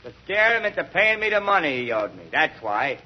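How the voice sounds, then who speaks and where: A husky gangster, surly and curt, with swinging, defiant intonation. It is vintage radio drama with muffled sound. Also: male voice, a little high, sing-song, a little fast. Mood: sullen, defiant. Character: sullen, defiant gangster on trial. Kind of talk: old-time radio drama acting.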